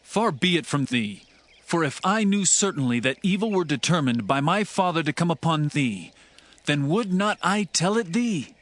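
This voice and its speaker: A man's voice: fairly high pitch, lively intonation, normal speed, fairly bright tone. A man playing a loyal young prince in a dramatized Bible reading, speaking solemnly and earnestly in declamatory archaic English. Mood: reassuring. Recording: background music under the voice.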